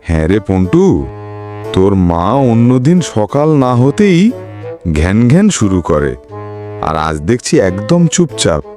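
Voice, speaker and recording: A man's voice: lowish voice, sing-song, slow pace, warm tone, faint music behind. A man voicing a puzzled father of a young boy in a cartoon. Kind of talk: cartoon dialogue.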